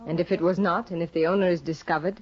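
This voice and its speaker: An actress playing a cold, proud aristocratic young wife in a 1950 radio drama, cool, clipped and imperious. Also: female voice, on the low side, fairly even intonation, fast, a little mellow, natural voice. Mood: guarded. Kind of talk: 1950s radio drama acting. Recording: dull lo-fi 1950 radio broadcast.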